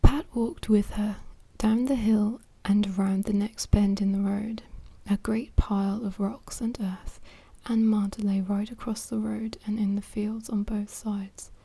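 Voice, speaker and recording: A woman reading a children's storybook aloud in a soft, breathy whisper, with hushed asides to the listener. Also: mid-range voice, little pitch movement, measured pace, few pauses, no room sound. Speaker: storybook reader.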